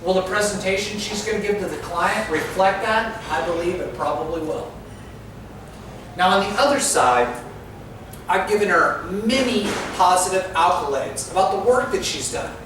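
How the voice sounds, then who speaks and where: A man gives an instructive talk to a room, speaking straight to his listeners in a coaching tone. His voice sits high. It rings with heavy echo and carries from a distance over clear background noise. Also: lively intonation, moderate pace. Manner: instructive, coaching tone with direct address. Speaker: speaker giving a club talk. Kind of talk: presentation to an audience. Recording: echoey hall from far off with noise.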